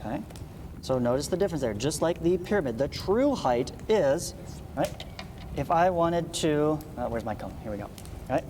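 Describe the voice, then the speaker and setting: A man's voice: on the high side, animated, moderate pace, a little mellow, a little hiss or hum. A man teaching in a read-along lesson style, explaining step by step with asides and frequent check-in questions. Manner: explanatory, frequent check-in tags like "right?" and "okay?". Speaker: math teacher.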